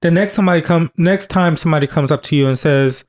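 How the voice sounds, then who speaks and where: A man in his thirties with a somewhat high voice talks through a podcast topic in a casual, earnest way. He circles back on his phrases, stacking repetitions for emphasis, and often restarts mid-sentence. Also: fast. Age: 30s. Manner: earnest, repeats himself for emphasis. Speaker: podcast co-host.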